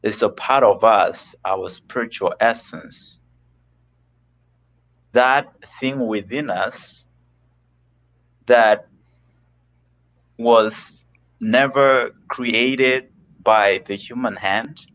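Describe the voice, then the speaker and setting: Slow, earnest man from Kenya. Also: mid-range voice, a bit bright, low-quality recording. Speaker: guest on a live stream. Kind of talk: reflective spiritual conversation.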